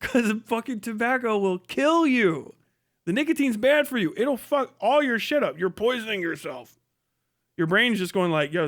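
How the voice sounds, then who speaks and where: A man's voice: high-pitched, a bit bright. A middle-aged man with a blunt, mocking manner, swearing casually through a comedic livestream rant. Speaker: live streamer, host.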